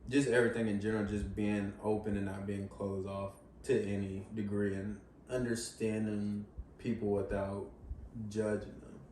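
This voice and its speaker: Young man, low and level, reflective casual talk, roomy distant pickup. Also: level tone, normal speed, a little mellow. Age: young adult. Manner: thoughtful, talks in long reflective strings. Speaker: guest in a discussion. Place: living room, on a couch. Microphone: far camera. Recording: roomy sound from far off, faint hiss.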